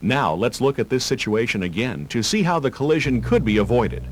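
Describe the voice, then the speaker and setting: Male instructional narrator with lively intonation. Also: medium pitch. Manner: measured, instructional delivery. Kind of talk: scripted driver-education video narration. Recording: hum and hiss of old tape.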